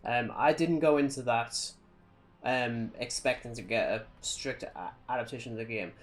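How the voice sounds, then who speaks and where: A man's voice: mid-range voice, moderate pace. Wry, informal male podcast co-host. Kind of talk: casual chat about films and games.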